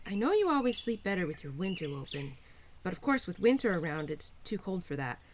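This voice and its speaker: Spring spirit, female, played by a woman: playful, nurturing, teasing, lively swooping intonation, audio drama intimacy over faint birdsong and crickets. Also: lowish voice, a little fast, a little hiss or hum. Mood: caring, chatty. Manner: bubbly, affectionate, gently teasing, expressive. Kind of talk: roleplay audio drama addressed to the listener.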